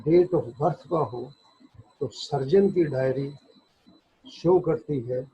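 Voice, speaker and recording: Husky man lecturing on law, Pakistani accent. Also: on the high side. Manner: deliberate, pausing phrase by phrase when reading. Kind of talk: explanatory lecture, reading out statute text and explaining it. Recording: dull, lo-fi audio.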